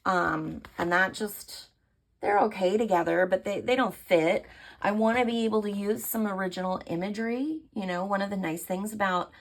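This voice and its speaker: A woman with a hoarse voice from allergies, chatting casually to camera about her art process and thinking aloud with many fillers. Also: mid-range voice, normal speed. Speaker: artist vlogger.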